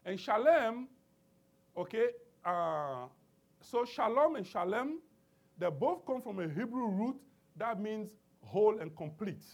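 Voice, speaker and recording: A man preaching with a husky, fairly high voice that swings widely in pitch. He presses his points emphatically and keeps asking for amens. He restarts his phrases often as he works through scripture. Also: very animated, slow. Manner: emphatic call-and-response preaching with many restarts. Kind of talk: sermon.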